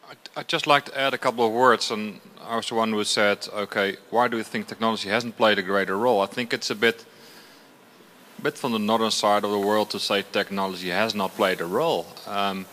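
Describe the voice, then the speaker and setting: A man's voice: fairly low pitch, fairly even intonation, brisk, pushed and bright. A man making an impromptu, opinionated comment at an event, with hesitant phrasing. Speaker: roundtable participant. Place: live event room.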